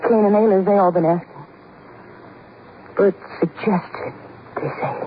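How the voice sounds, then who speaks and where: A woman's voice: mid-range voice, steady tone, unhurried, natural voice. Crackly tinny radio, woman acting a tough hurt waitress, defiant and slangy, bitter. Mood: guarded, weary. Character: bitter, wounded young waitress, tough and guarded. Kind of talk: 1950s radio drama acting. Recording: tinny, noisy 1950s radio recording.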